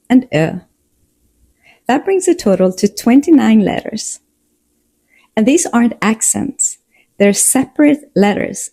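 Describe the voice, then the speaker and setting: Slow, gravelly woman narrating a lesson. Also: medium pitch, warm tone, no room sound.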